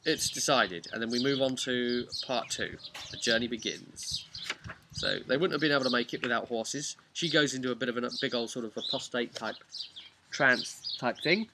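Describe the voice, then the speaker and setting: A man casually retelling a tabletop role-play story in a halting, chatty way with wry asides. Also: medium pitch. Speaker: host of a tabletop role-play campaign recap. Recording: clear background noise with birdsong.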